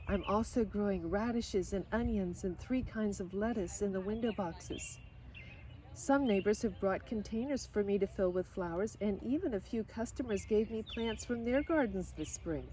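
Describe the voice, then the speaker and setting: A woman reading a children's picture book aloud in an expressive way, now and then speaking directly to her listeners. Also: mid-range voice, a little hiss or hum, low-quality recording. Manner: expressive, pausing for short asides to listeners. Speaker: storybook reader.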